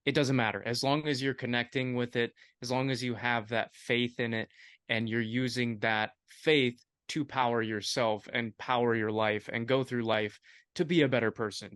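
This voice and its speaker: A man's voice: mid-range voice, fairly even intonation, moderate pace, fairly bright tone, no room sound, clean studio sound. A man hosting a casual podcast, enthusiastic and loose-tongued, praising his guest. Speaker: podcast host.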